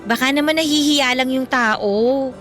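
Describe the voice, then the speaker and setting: A high, bright-voiced Filipino schoolgirl, acted by a woman in a radio romance drama. She is expressive and bantering, quick to get defensive. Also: soft background music. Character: playful, defensive teenage schoolgirl pretending to be rich.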